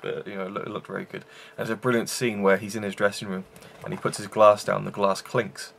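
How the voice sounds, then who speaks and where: A man's voice: on the low side, flat delivery, fast talker, gravelly, fairly bright tone, a little hiss or hum. A man hosting a casual film review show, rambling with enthusiasm and many fillers and restarts.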